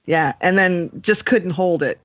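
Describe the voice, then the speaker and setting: A woman's voice: lowish voice, unhurried. A woman co-hosting a podcast interview in casual chat, readily agreeing and responding to her guest. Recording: muffled phone-like call audio.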